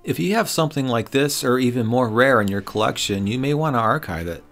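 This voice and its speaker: A man's voice: medium pitch, lively intonation, fairly quick, fairly bright tone, soft background music. A middle-aged man reads a scripted product review voice-over in a wry, opinionated way. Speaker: reviewer and host.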